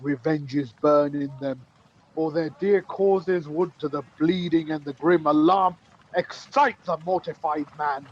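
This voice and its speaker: A man's voice: a little high, measured pace. An amateur actor playing an earnest rebel nobleman in a Shakespearean radio play, declaiming his lines. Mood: earnest, grave. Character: earnest Scottish noble rebel discussing the enemy. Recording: muffled lo-fi video-call audio.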